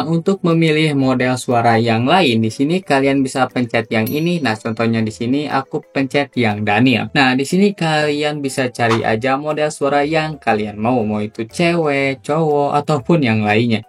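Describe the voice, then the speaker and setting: A man with an Indonesian accent hosting a casual, slangy tutorial, talking straight to his viewers. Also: medium pitch. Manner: chatty, slangy, addresses viewers directly. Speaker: YouTube tutorial host. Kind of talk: casual scripted tutorial narration.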